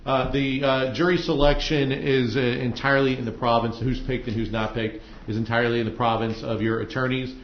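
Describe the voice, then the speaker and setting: An American man presiding as a judge in a courtroom, speaking in an easygoing, halting, conversational way to lawyers and jurors. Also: mid-range voice, steady tone. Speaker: judge presiding over a trial. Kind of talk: courtroom proceedings, informal instructions to jurors. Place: indoor courtroom. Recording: echoey, muffled courtroom audio with noise.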